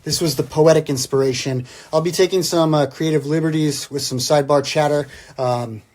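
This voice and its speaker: A man's voice: fairly high pitch, steady tone, a little fast, a little mellow. A man reciting a poem theatrically and breaking into casual, irreverent joking asides. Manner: wry, irreverent, theatrical when reading verse. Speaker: guest reader, comedic poem reciter. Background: instrumental Christmas music.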